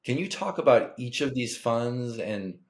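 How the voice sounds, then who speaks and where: A man's voice: mid-range voice, animated, unhurried. A middle-aged man hosting a podcast, introducing his guest and asking questions in an upbeat, friendly way. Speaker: podcast host.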